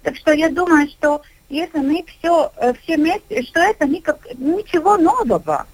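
A middle-aged woman with a somewhat high voice speaks as a kindergarten director in a radio studio. She pauses often and fills the gaps with hesitation sounds as she talks her points through. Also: lively intonation, rough recording. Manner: halting, many filler sounds. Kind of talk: radio discussion.

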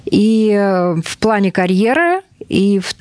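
A woman's voice: mid-range voice, big pitch swings, slow, darkish tone, clean tone, no echo. A woman hosting a conversational interview, speaking in reflective, thoughtful phrases. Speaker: host.

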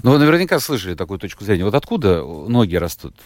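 A man's voice: fairly low pitch, very animated, quick pace. A male radio host interviewing a guest, reading out a listener's message and pressing with follow-up questions. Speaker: radio talk show host.

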